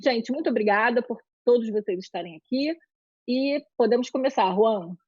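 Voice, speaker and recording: A woman's voice: fairly high pitch, normal speed, a little mellow, slightly rough, no echo. A woman curator giving a formal, scripted introduction to an online panel. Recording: dry, slightly dull lo-fi sound.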